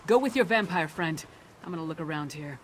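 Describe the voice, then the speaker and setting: A woman's voice: low-pitched, lively intonation, a little fast, background noise. Blunt, tough warrior woman. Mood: brisk. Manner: blunt, no-nonsense. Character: large, tough, blunt female axe-wielding warrior. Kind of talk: video game character acting.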